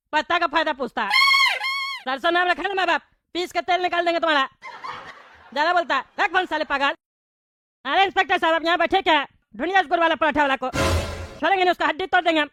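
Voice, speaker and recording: A performer voicing a loud, angry bald male politician, an indignant, threatening buffoon in a Hindi comedy cartoon. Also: extremely high pitch. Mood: furious, threatening. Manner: blustering, threatening, complaining.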